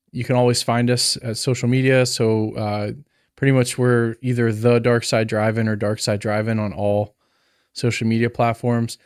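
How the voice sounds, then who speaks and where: A man's voice: mid-range voice, darkish tone, smooth, no room sound, studio quality. A man co-hosting a podcast, chatting casually and rambling with plenty of fillers. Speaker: podcast co-host. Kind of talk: casual chat.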